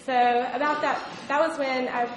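A young woman with a slightly high voice speaks sincerely and a little haltingly. Her voice echoes in the room, with a long reverb and faint noise. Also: level tone, soft background music. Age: young adult. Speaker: university student.

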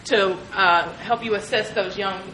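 A woman presenting as a financial aid trainer, instructive and deliberate, with some ums. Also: mid-range voice, steady tone, pushed and bright. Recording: echoey room with noticeable noise.

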